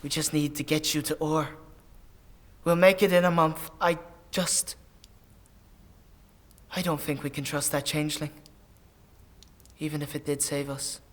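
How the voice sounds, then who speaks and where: A man playing a warrior's earnest, wary companion in a dark fantasy audio drama, plain-spoken and full of questions. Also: a little high, flat delivery, a little slow, long pauses. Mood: reassuring, wary.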